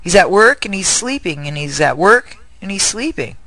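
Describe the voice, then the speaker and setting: A man's voice: high voice, sing-song, moderate pace, crowd noise, low-quality recording. A man with a Northern US accent, interviewing in a casual chat and laughing often.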